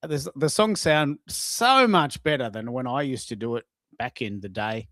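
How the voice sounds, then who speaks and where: A man's voice: mid-range voice, moderate pace, a bit bright, no room sound, studio quality. A middle-aged man hosting a live audio show, chatting casually and rambling, repeating words for emphasis. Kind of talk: casual live-stream chat.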